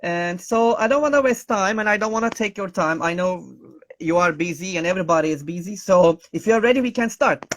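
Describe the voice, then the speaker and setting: A man's voice: sits high, animated, a little fast. A man hosting an online teacher talk show over a video call, friendly and hesitant with many fillers and nonnative phrasing. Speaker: host.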